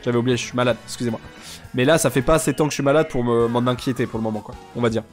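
A young man with a stuffy nose, chatting casually and joking deadpan with viewers while he streams a game. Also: medium pitch, rapid-fire, faint music behind. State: congested, sick with sinusitis. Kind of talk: casual live-stream chat while gaming.

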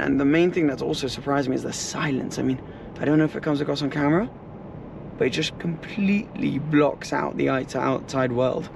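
A man's voice: on the high side, creaky. A young man talking casually to a handheld camera in a car and outdoors, chatty and upbeat, with his voice dropping at the ends of phrases. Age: young adult. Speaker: car vlogger. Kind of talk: casual travel vlog to camera. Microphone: handheld action camera at arm's length. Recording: car and road noise behind.

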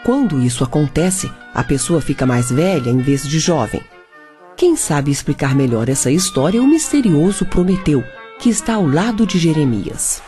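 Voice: medium pitch, very animated, moderate pace, mellow. Theatrical Brazilian storyteller over music. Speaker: narrator. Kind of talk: storytelling narration in a radio play.

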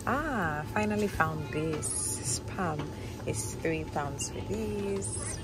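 A woman's voice: sits low, extremely slow, over loud music. A woman filming a casual shopping vlog in supermarket aisles on a handheld phone, chatting and reading out prices item by item. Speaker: vlogger. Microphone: handheld phone camera. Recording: noisy supermarket background.

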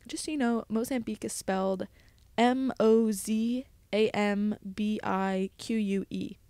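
A young woman tells stories as if on a casual podcast, chatting and explaining as she goes, often slipping in little asides. Her medium-pitched voice sits in a dry, echo-free recording. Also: fairly even intonation, unhurried, dark tone, clear, resonant tone. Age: young adult. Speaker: podcast host, college student studying at sea. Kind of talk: casual solo podcast storytelling.

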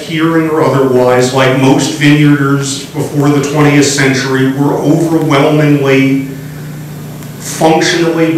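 Middle aged historian guy lecturing real slow, echoey room, far off mic. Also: on the high side. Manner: measured, deliberate phrasing with long pauses. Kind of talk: public lecture. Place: library meeting room with audience. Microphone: room mic from a distance. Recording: echoey hall, distant mic, faint hiss.

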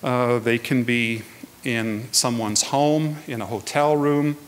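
A man gives a prepared public talk in a measured, earnest way. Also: mid-range voice, fairly even intonation. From Canada. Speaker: guest speaker, hearing adjudicator. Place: indoors at a lectern in a hall.

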